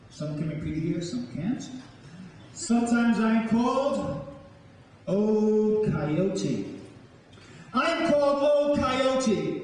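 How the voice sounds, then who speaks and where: A man from the South Bronx, speaking as a spoken word poet on stage in a declamatory, deliberate manner. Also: sits high, darkish tone, a little hiss or hum. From South Bronx, New York. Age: middle-aged or older. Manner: declamatory, solemn, deliberate phrasing. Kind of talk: dramatic live poetry recitation with spoken introduction. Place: large indoor hall, live performance before an audience. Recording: echoey hall, distant microphone.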